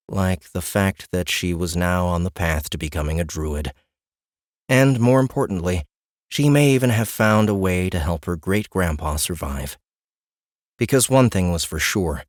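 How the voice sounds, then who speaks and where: Guy reading fantasy audiobook, really low voice, lively storyteller delivery, super dry booth sound. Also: no echo. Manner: expressive, storytelling. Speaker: audiobook narrator. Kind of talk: scripted fantasy story narration.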